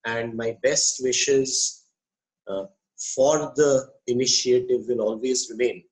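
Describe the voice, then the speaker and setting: A man, a psychiatrist giving an online welcome speech, hoarse from a cold and speaking in a measured, deliberate way over a video call. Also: mid-range voice, fairly even intonation, poor audio. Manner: measured, deliberate, pauses with fillers.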